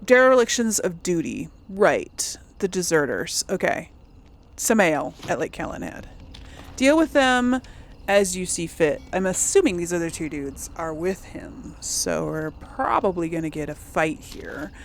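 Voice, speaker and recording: Female gamer, casual let's play commentary, unhurried, animated pitch, chatty, faint game noise behind. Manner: chatty, thinking aloud. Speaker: video game streamer, host.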